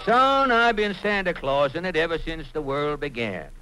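An actor playing a stubborn, world-weary old Santa Claus with folksy, grumbling speech in an old radio comedy. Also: male voice, fairly high pitch, sing-song, moderate pace, loud music behind the voice. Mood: weary, confiding. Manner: folksy rustic grammar, grumbling. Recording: noisy old radio recording.

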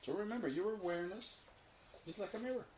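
A man leading a guided meditation, giving unhurried, repeated instructions with long pauses between them. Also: high-pitched, a little mellow. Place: informal indoor room. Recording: roomy echo, faint background noise.

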